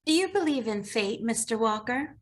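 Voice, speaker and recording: A shy, grieving girl of seventeen in an animated comedy, acted by a woman. Her voice is fairly high and tentative, with a questioning way of speaking. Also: normal speed, lightly acted. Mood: wistful. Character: timid, grieving seventeen-year-old girl. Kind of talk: animated comedy table read.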